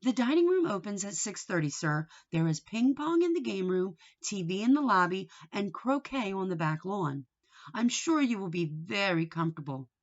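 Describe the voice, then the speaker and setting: A woman's voice: medium pitch, expressive, normal speed, lightly acted. Woman reading as a polite hotel bellboy, muffled. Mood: courteous. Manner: deferential, formal. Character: polite, reassuring old hotel bellboy, male.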